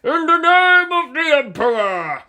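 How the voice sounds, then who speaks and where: A reader doing a bombastic war-crying office worker. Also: male voice, very high-pitched, animated, takes it slowly, a bit bright, voice pushed up, full character voice. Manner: bombastic, heavily accented English. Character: office worker uncle bellowing a war cry.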